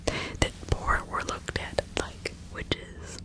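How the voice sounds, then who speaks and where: A woman speaking in a breathy, hushed whisper as an ASMR host, reading and role-playing in an informal, conversational way. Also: drawn-out words. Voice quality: breathy unvoiced whisper with some crackle.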